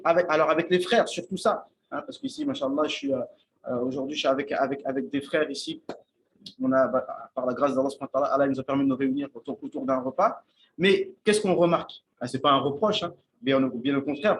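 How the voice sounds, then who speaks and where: Halting male teacher, slightly raised pitch. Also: slight background noise. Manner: halting, thinks aloud, repeats and restarts words; checks in with listeners, asks "d'accord?". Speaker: Islamic teacher giving a talk on Arabic. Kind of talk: informal spoken religious lesson. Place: indoors at a shared meal with friends.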